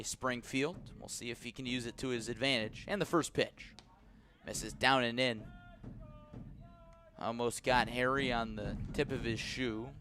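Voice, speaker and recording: A man with an American accent calling live baseball play-by-play on the radio, measured between pitches and rising on big plays. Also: fairly bright tone.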